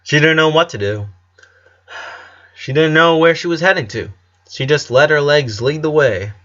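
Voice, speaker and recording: A man's voice: a little high, moderate pace, fairly bright tone, lo-fi sound. A man reading a scripted story aloud as an audiobook narrator.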